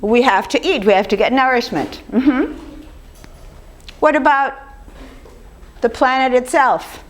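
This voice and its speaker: An older woman with a slightly rough voice gives an informal lecture, talking conversationally and putting questions to her audience. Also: sits high, measured pace, long pauses, slight background noise. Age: 50s or older. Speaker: speaker giving a lecture on raw food.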